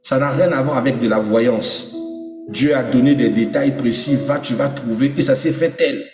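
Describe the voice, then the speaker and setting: A man preaches with fervour, his voice climbing to emphatic peaks as he addresses the congregation. He sounds distant from the mic, and the dull recording carries a long hall reverb over steady music. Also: medium pitch, moderate pace. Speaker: apostle preaching. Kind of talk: sermon teaching with personal testimony.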